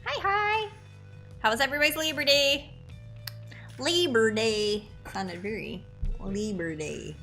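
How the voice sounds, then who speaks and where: Bright, giggly woman with a Wisconsin accent. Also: fairly high pitch, a little slow, pauses often, soft background music. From Upper Midwest, United States. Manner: giggly, rambling, many fillers. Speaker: guest co-host. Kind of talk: casual live stream chat.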